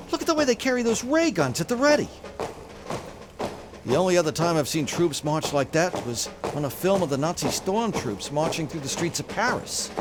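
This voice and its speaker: An actor playing a frantic, slangy publicity man in an urgent 1950s radio drama style. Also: a little high. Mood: grim awe. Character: frantic, fast-talking male publicity man.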